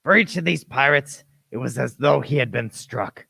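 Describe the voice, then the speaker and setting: Man as an earnest adventurer narrator, crisp bright tone, gravelly edge, dramatic radio play storytelling. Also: medium pitch, normal speed, no room sound, exaggerated voice. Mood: dramatic, tense. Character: earnest grown Jim Hawkins recalling his boyhood adventure.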